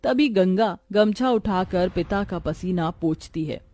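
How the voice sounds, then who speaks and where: A woman's voice: mid-range voice, a little slow, dark tone. A woman narrator reading a scripted moral story for a cartoon.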